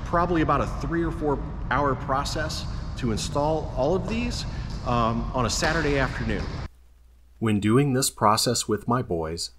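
A middle-aged man explaining a job in a friendly, steady, instructive way, partly on camera in a large indoor gym and partly in voice-over. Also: medium pitch, noisy background. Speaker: flooring company owner.

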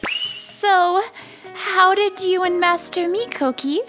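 A woman's voice: extremely high pitch, slow. A woman playing a brash, blunt teenage tomboy who gets shy and flustered, in an English-dubbed manga romcom cartoon. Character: brash tomboy teenage girl fighter, secretly girlish and shy. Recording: music behind the voice.

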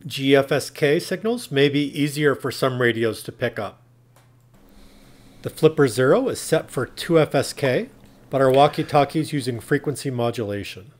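A man's voice: medium pitch, animated, normal speed. A man narrating a tech tutorial in a plain, instructional, step-by-step way. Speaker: tutorial presenter.

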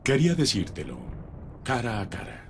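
Murderous smug official, Latin American Spanish, menacing, sardonic, widely swinging pitch, loud ambience behind. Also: male voice, wildly swooping pitch, moderate pace, background noise. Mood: cold, menacing. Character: ruthless, smug, murderous male official fleeing a doomed city. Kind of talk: dramatic audio drama acting. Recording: voice over loud background ambience.

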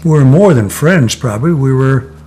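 An older man in his seventies from Connecticut, reminiscing slowly in a sit-down oral history interview, with many pauses and "uh" fillers. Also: mid-range voice, expressive, warm tone, husky. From Connecticut, USA. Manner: slow, reflective, many "uh" pauses. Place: living room, seated in armchair.

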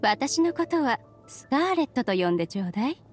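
A woman voicing a courteous, mysterious traveller in an anime game, composed and measured. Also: high voice, big pitch swings. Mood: cool, courteous. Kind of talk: anime game character acting. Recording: game music behind the voice.

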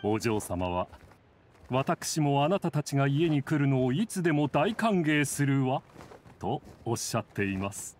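An actor voicing a dignified, courteous raven familiar in a video game, formal and deferential like a butler. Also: male voice, medium pitch, big pitch swings, measured pace, a little mellow, a little hiss or hum. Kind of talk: Japanese game voice acting.

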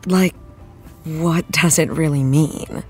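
A woman's voice: sits low, slow pace, darkish tone, soft background music. A woman playing an earnest, thoughtful TV actress in an interview, searching for her words in a conversational way. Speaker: interviewed actress, after-show guest.

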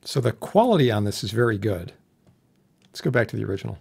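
A man narrating a casual software tutorial as an instructor, explaining things informally. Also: lowish voice, big pitch swings, quick pace, vocal fry, slightly rough. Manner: informal, explanatory with homely analogies. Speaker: instructor demonstrating audio software. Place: indoor voice-over for a screen recording.

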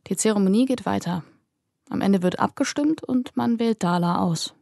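A woman calmly narrating a fantasy audiobook. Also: medium pitch, moderate pace, creaky, no room sound, clean studio sound.

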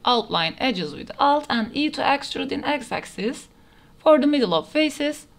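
Woman doing a 3d modeling tutorial voiceover, kinda high voice, plain step by step instructions. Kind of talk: step-by-step software tutorial narration. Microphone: voice-over over screen recording.

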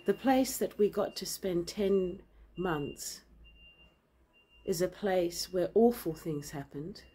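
A woman in her forties who grew up in Zambia, talking earnestly to a phone camera in a bright room with big windows, measured and then forceful. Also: lowish voice, breathy edge, low-quality recording. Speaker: vlogger and author. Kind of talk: impassioned personal address to camera. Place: indoor room with large windows and plants. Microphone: phone camera at arm's length.

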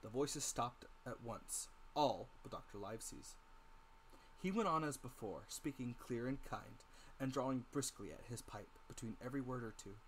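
A man narrating an audiobook as a dramatic reading, with deliberate, theatrical emphasis. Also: medium pitch, slight background noise, low-quality recording.

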